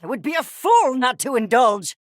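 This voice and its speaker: A woman's voice: high-pitched, big pitch swings, normal speed, no room sound, studio quality. A woman voicing a haughty, eccentric furry creature scientist in a video game, speaking in a verbose, condescending and theatrical way with precise articulation. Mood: intense, fascinated. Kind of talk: video game character acting.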